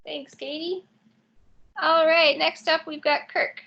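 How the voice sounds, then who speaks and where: A woman with a high, bright voice runs an online orientation session. She calls on each student in turn with a brisk manner. Her voice has a slight gravelly edge and sounds muffled over a video call. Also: level tone. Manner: brisk roll-call introductions. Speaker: orientation host.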